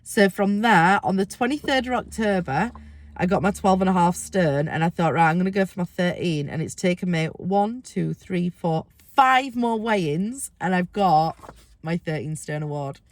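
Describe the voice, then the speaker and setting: Woman vlogger, under the weather with a squeaky voice, casual and chatty, bursts of excitement. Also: moderate pace. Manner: chatty, excitable, rambling asides. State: ill, squeaky voice. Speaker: weight-loss vlogger. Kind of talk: casual vlog to camera.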